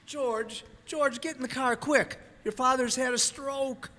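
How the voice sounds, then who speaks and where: A man playing an anxious, excitable small-town uncle in a radio play. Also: extremely high pitch, unhurried, exaggerated voice. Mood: alarmed. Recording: very echoey hall stage.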